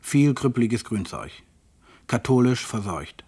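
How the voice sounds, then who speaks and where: Männerstimme, bitterer, karger Monolog. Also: male voice, medium pitch, animated, a little slow, warm tone, husky. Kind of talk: recited autobiographical monologue.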